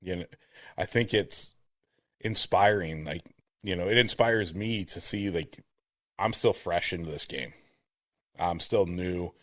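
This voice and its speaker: A man with a deep voice hosting a casual podcast interview from home, talking in an earnest, upbeat, rambling way. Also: fairly bright tone, no room sound, clean studio sound. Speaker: podcast host. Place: home room. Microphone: studio mic with compressor, at home.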